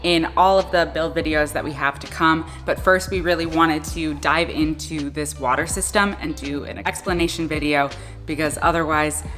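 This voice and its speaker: A woman in her thirties with a fairly low voice explains things casually, like a vlogger talking to camera. The room rings with long echo, and music plays behind her. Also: steady tone, moderate pace, fairly bright tone. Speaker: vlogger explaining a camper van build. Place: indoor garage workshop beside a van.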